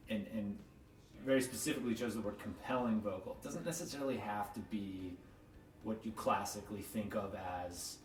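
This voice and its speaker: A man's voice: lowish voice, fairly even intonation, moderate pace. A man hosting a podcast, talking in a thoughtful, rambling way with lots of fillers. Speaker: podcast host. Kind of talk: casual interview chat. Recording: echoey room, distant mic, faint noise.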